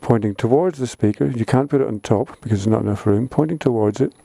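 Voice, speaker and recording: A man's voice: mid-range voice, quick pace, vocal fry, warm tone, dry recording. A man giving a casual how-to explanation for a vlog in an informal, plain-spoken way. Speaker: vlogger.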